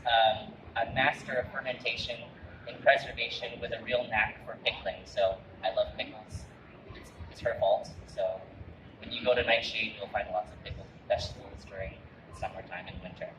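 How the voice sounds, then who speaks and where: A man who grew up in Canada, telling a personal food story in an earnest, reminiscing way with frequent restarts. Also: medium pitch. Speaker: chef giving a talk. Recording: roomy, distant mic with loud background noise.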